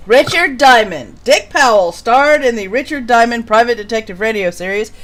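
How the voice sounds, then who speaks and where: High-voiced woman hosting. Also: animated, normal speed. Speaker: host of an old-time radio programme. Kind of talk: casual hosting with read-out introductions.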